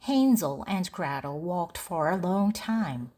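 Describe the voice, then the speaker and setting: A woman reading a children's story aloud in short, deliberate phrases for language learners. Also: on the low side, lively intonation, dark tone. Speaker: storybook narrator.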